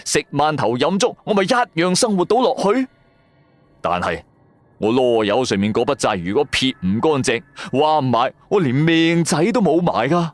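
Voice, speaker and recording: A man with a Cantonese accent telling a scripted first-person story in a colloquial, expressive style. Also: fairly high pitch, moderate pace, dry recording. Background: music.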